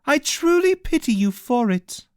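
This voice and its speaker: A storyteller voicing a feverish young woman mage who speaks in a sharp, strained, defiant way. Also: sits high, big pitch swings, unhurried, smooth, no room sound, clean studio sound, pitched above the performer's own voice. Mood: grave.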